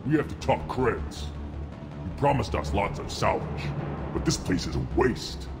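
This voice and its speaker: A money-hungry gang boss in a sci-fi game. His voice is on the low side, blunt and full of threat. Music plays clearly behind him. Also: sing-song, unhurried, heavily acted. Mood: impatient, demanding. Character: greedy, menacing male mercenary leader. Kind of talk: dramatic video game cutscene acting.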